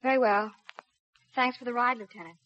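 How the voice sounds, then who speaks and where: A woman's voice: a little high, steady tone, fairly quick, noisy background, close to an everyday voice. An actress playing an earnest, stubborn young woman caught up in a crime case, in 1950s radio drama style. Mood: subdued, reproachful. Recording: muffled vintage radio recording.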